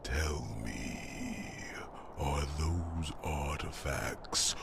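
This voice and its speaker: An ancient dragon lord, rumbles out stern counsel in an extremely low, gravelly growl. He is solemn and very slow, heaving out short phrases in a fantasy roleplay. Loud noise runs under the voice. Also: full character voice. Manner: slow, halting, solemn delivery. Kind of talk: fantasy audio roleplay acting.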